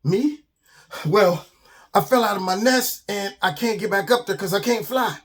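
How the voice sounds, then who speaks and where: A man's voice: high voice, moderate pace, fairly bright tone, pitched above the performer's own voice. A man voicing a scrawny, timid but plucky young bird in a children's story, hesitant and defensive in a casual way. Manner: hesitant, casual, exasperated protesting.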